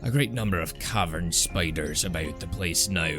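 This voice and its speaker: A man puts on a low-voiced dwarf master from a fantasy storybook. He is gruff and plainspoken, with faint music underneath. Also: moderate pace. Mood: measured, gruff. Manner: plainspoken, grumbling, hesitant at times.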